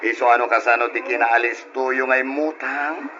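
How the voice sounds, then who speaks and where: An actor playing a greedy, brash moneylender husband who gloats and chuckles in an old radio drama, speaking with an Ilocano accent. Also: male voice, medium pitch, steady tone, moderate pace, close to an everyday voice. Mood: gloating, menacing. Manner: gloating, swaggering, often chuckling. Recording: muffled, noisy old radio drama recording.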